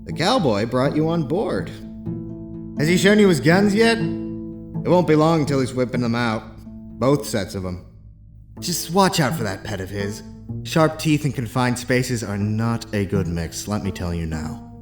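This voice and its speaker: A man's voice: mid-range voice, sing-song, unhurried. Man playing a cocky, swooping flirt of a space engineer. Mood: playful. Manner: playful, boastful, teasing drawl. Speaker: voice actor. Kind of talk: visual novel fan dub. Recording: music behind the voice.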